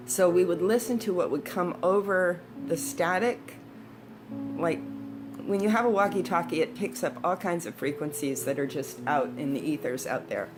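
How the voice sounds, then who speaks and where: Low-voiced woman in her sixties reminiscing. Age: 60s. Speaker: storyteller, video host. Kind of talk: casual personal reminiscing. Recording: background guitar music. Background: instrumental guitar music.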